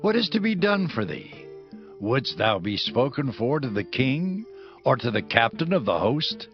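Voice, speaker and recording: A man's voice: mid-range voice, big pitch swings, measured pace, muffled recording, soft background music. An actor playing a solemn, commanding male prophet in a dramatized scripture recording, speaking in formal, declamatory phrases. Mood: solemn, kindly. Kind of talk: dramatized scripture audio acting.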